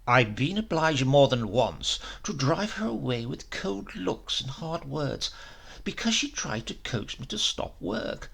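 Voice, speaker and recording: A man's voice: a little high, big pitch swings, measured pace, gravelly, fairly bright tone, slightly rough, full character voice. A man voicing a callous, obsessed Italian professor in a horror story, pompous and theatrical. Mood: dismissive, disdainful.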